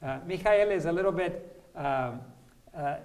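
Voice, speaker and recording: An older man hosting an interview and introducing guests in a halting, deliberate way. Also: on the high side. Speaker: host, missionary preacher.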